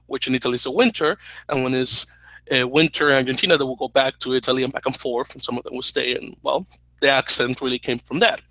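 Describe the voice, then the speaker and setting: Bright, dry-voiced Dominican man explaining. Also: medium pitch, no room sound, poor audio. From Dominican Republic. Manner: explanatory, opinionated, restarts phrases. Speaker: podcast co-host. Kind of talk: casual chat. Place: small indoor room at home. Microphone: headset.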